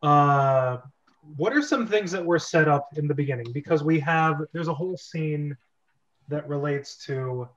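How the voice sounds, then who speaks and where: A man's voice: fairly high pitch, measured pace, a bit bright. A man hosting a script reading club, chatting casually with the group. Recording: dull, lo-fi online recording.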